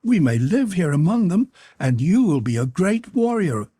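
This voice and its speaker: A man's voice: high-pitched, sing-song, moderate pace, dark tone, slightly rough, no echo, studio quality, pitched above the performer's own voice, exaggerated voice. A man voicing an ardent, tender young native woman in an audiobook, speaking in an exclamatory, pleading way. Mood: eager, hopeful. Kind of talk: audiobook character voice.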